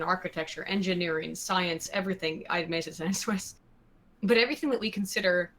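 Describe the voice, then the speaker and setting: A woman's voice: medium pitch, a bit bright, low-quality recording. Emphatic woman co-host. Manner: emphatic, listing points. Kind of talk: casual podcast chat.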